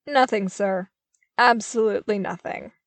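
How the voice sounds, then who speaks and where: A woman's voice: mid-range voice, measured pace, dry recording, natural voice. A woman puts on a male soldier for an audiobook tale. He is dutiful, firm and respectful, with a drily amused streak. The recording is close and free of room sound. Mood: wry. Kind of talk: audiobook character acting.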